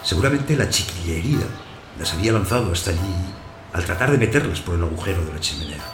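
An actor playing a lonely abandoned householder, narrating his story in a measured, plain way for an audio drama. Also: low-pitched, lively intonation, over music. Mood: absorbed, quietly elated. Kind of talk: first-person literary narration in audio fiction. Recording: roomy echo, clear background noise.

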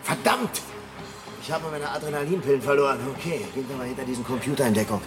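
A man playing a brash, quick-tempered galactic rogue in a German radio comedy. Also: medium pitch, loud music behind the voice, close to an everyday voice. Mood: cocky, tense.

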